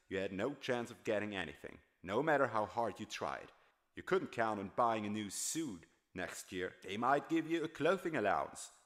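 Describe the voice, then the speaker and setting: A man reading a first-person novel aloud in a dramatic, storytelling style. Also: on the low side. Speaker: audiobook narrator.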